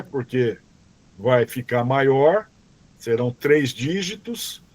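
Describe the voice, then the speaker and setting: A man teaching a robotics class online, explaining code slowly and patiently, often checking in with "tá?" and "ok?" and correcting himself as he reads commands aloud. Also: a little high, a little mellow, dry recording. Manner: restarts and self-corrections while reading code aloud.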